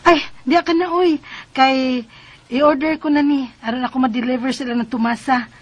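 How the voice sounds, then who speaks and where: An actress playing a hopeful, upbeat young wife who sells on credit, in Bisaya radio drama style with a Cebuano accent. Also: female voice, sits high, steady tone, moderate pace, dull audio.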